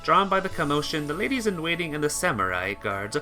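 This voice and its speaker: A man giving an expressive, theatrical reading of a visual novel as narrator, occasionally stumbling over words. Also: fairly high pitch, fairly quick, faint music behind.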